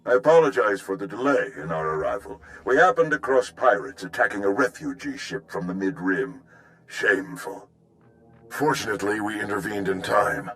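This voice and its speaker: A dignified military commander in a sci-fi game. His courteous, formal speech has a gravelly edge and sweeping intonation, with music underneath. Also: lowish voice, big pitch swings, moderate pace, a bit bright, full character voice, poor audio. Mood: formal, apologetic. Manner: formal, stately. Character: courteous, dignified male general, formal and noble. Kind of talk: game cutscene acting.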